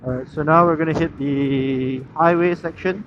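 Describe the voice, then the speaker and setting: A dull helmet-mic recording with clear wind and vehicle noise. A slightly high-voiced man chats off the cuff while riding a motorcycle, rambling a little as he thinks aloud. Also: steady tone, moderate pace. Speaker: motorcycle vlogger. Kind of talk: unscripted casual chat while riding. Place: outdoors on a moving motorcycle, inside a helmet. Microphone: helmet intercom microphone. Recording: muffled helmet mic, loud wind and traffic.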